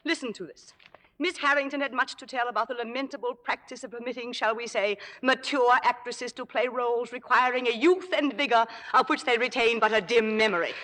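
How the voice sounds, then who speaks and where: An actress playing a sardonic, indignant mature stage star in a vintage radio drama, with biting, theatrical wit. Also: female voice, a little high, fairly even intonation, fairly quick, fairly bright tone, natural voice. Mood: indignant, sarcastic. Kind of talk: 1950s radio drama acting. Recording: vintage radio broadcast with music.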